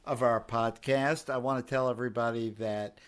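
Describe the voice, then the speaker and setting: A man's voice: mid-range voice, fairly even intonation, normal speed, clear, resonant tone. A middle-aged man from New York co-hosting a casual podcast, joking and playful as he talks about music. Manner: jokey, playful, breaks into humming. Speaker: podcast co-host, pianist and songwriter.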